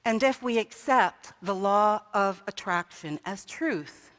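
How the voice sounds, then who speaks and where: A woman's voice: medium pitch, slow pace, fairly bright tone. A woman minister preaching a sermon in a church, telling a story with rhetorical questions and building to emphatic peaks. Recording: long hall reverb.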